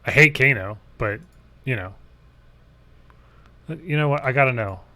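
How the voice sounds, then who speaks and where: A man's voice: mid-range voice, measured pace, pushed and bright, no echo. A man co-hosting a gaming show, talking informally and loosely as he chats about old trailers. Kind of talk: casual chat, reacting to old film trailers.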